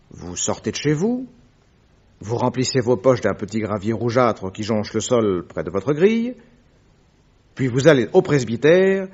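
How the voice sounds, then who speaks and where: A man's voice: medium pitch, darkish tone, slightly rough. A man playing a shrewd, commanding detective in a French radio mystery, speaking with precise, methodical, theatrical assertiveness. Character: shrewd, commanding male detective laying out an accusation. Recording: dull, lo-fi old radio recording.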